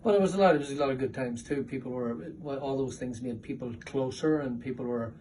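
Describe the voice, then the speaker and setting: A middle-aged man with a Northern Irish accent reminisces casually in a family interview, speaking haltingly and repeating words. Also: medium pitch, mellow. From Northern Ireland. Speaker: interviewee, father. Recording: distant mic with background room noise.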